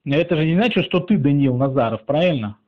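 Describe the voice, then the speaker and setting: Man, slightly high voice, sarcastic and teasing, slow drawl, muffled lo-fi recording. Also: lively intonation. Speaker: channel author pranking a phone scammer. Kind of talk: casual mocking phone chat.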